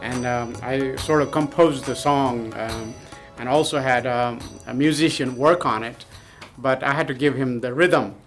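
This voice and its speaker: A man's voice: mid-range voice, unhurried, fairly bright tone, soft background music. A man from Nepal, answering interview questions hesitantly with many ums and uhs. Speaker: podcast guest. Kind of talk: casual interview.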